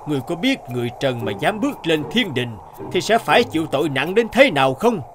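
An actor playing a stern, imperious old male emperor of heaven in an animated fairy tale. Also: on the high side, background noise. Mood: stern anger. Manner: imperious, slow.

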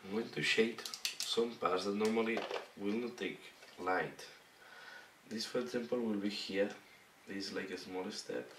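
Hoarse man, halting tutorial talk. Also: fairly low pitch. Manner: halting, broken phrases while working. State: hoarse, losing his voice. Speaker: miniature painting instructor. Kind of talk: step-by-step painting tutorial. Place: indoor hobby painting desk. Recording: roomy, distant mic with faint noise.

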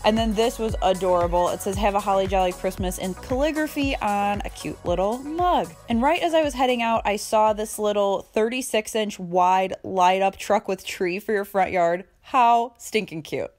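A woman's voice: medium pitch, normal speed, hardly any pauses, a little mellow, faint music behind. A woman in her thirties chatting casually and enthusiastically, gushing her way through a shopping haul as a vlogger. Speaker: home decor vlogger.

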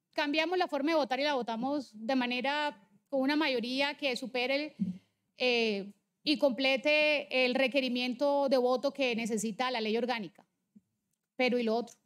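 Female legislator, 40s, Colombian Caribbean accent from Barranquilla, high voice, emphatic debate delivery. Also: smooth. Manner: insistent, emphatic. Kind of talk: political debate speech with slides.

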